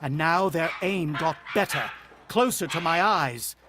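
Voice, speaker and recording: An actor playing a rattled, protective farmhand husband in a suspenseful, vintage-style radio drama. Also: male voice, on the high side, unhurried, noisy background, close to an everyday voice. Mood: tense, breathless narration. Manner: dramatic, suspenseful first-person storytelling.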